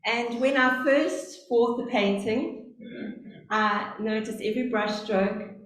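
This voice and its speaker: Brief: middle-aged South African woman, lay preacher, slow and earnest storyteller, higher voice, big echoing church. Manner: earnest, storytelling, speaks slowly on purpose. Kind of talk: sermon. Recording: very echoey church, faint hum.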